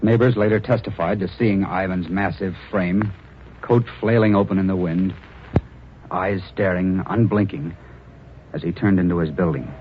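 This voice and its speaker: An actor playing a young police reporter in an old radio drama, narrating the story steadily. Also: male voice, sits low, normal speed, slight background noise, close to an everyday voice. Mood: steady. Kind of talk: 1940s radio acting. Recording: dull lo-fi old radio recording.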